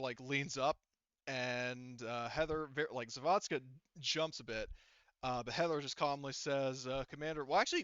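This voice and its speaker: A man with a bright, smooth voice runs a tabletop game as its game master. He narrates casually and haltingly, circling back over his words. The sound is close and dry, a touch dulled like voice chat. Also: medium pitch, no echo, muffled recording. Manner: halting, rambling, filler-heavy delivery. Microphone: online voice call microphone.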